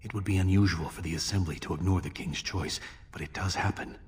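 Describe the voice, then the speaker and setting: Man playing a deep-voiced scheming dwarf prince. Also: slight background noise, lightly acted. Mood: persuasive intrigue. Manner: measured, persuasive, conspiratorial. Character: smooth-talking scheming young dwarf prince, younger brother. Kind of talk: video game dialogue.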